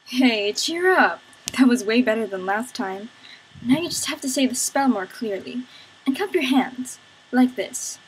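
A woman plays a sensible young apprentice girl in an audio play. She is high-voiced, brisk and a touch exasperated, like someone used to correcting others. The recording is noticeably noisy. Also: expressive. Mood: instructive. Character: sensible, bossy young female magic apprentice. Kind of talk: audio drama acting.

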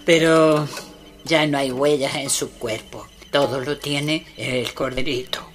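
Slow, halting shaman healer. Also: rumbling low, steady tone, a little hiss or hum. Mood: grave, explaining. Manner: halting, repeats words, commanding. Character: Mapuche woman shaman healer, urgent and solemn. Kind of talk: radio drama acting. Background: music.

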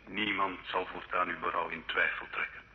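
Guy doing grave church judge in old radio play, lowish voice, formal, muffled sound. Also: flat delivery, normal speed, lightly acted. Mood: grave, stern. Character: solemn church judge pronouncing absolution and death sentence. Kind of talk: old radio drama acting.

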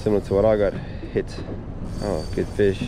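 A middle-aged man with an Australian accent, guiding a fishing trip and calmly coaching his guests. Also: low voice, normal speed, music in the background. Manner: encouraging, instructive, laid-back. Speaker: fishing charter guide. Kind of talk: casual on-water coaching. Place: small boat on open water outdoors. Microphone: action camera on the boat. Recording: boat and wind noise outdoors.

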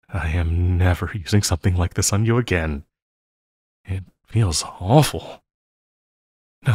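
Man acting a slow, lovesick supervillain, halting and needy. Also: on the low side, a little mellow, breathy edge, dry recording, studio quality, heavily acted. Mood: relieved, nervous. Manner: halting, stammering, melodramatic. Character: obsessive lovesick male supervillain, pleading and needy. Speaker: audio roleplay voice actor. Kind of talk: intimate audio roleplay acting.

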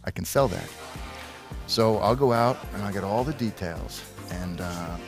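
A man's voice: lowish voice, measured pace. A man voicing an eager, slick American sports agent with an emphatic salesman's manner, music behind him. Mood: hyped. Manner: salesman-like, emphatic, repeats himself.

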